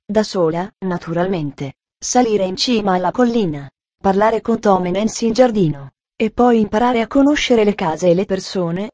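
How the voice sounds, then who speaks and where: An eager, talkative little girl from a storybook chatters on earnestly, as a woman reader puts her on. Her tone is soft and mellow, and the sound is dry and close, with no room around it. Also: medium pitch, no room sound, dull audio, natural voice. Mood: pleading. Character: cheerful talkative young girl.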